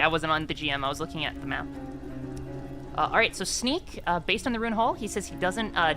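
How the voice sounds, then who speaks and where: A game master narrating a tabletop roleplaying game online in a halting, explanatory way. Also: medium pitch. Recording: background music behind the voice.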